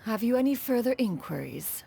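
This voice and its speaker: A woman's voice: on the high side, big pitch swings, fairly quick, vocal fry, mellow, a little hiss or hum. A woman playing a proud yet tender crane immortal and elder mentor in a video game, speaking in formal, archaic, stately phrases. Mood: solemn, apologetic. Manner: formal, archaic, stately speech, refers to self as "one". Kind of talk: scripted video game character acting.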